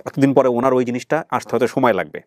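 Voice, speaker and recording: A Bangladeshi man hosts an interview in a quick, explanatory way. His voice is mid-pitched and recorded dry and close. He probes by rewording his questions. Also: darkish tone, no room sound. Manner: explanatory, probing with repeated rephrased questions. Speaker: show host. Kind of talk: conversational interviewing on a family reunion show.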